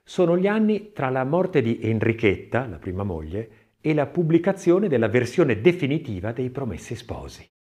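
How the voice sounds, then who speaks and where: Expressive male narrator with a lively pitch range. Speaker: audiobook narrator. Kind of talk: scripted literary narration, expressive.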